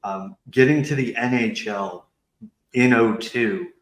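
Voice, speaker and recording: A man hosting a podcast, asking questions in a casual, hesitant way with frequent pauses. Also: medium pitch. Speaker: podcast host interviewer. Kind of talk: casual interview chat. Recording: echoey room sound.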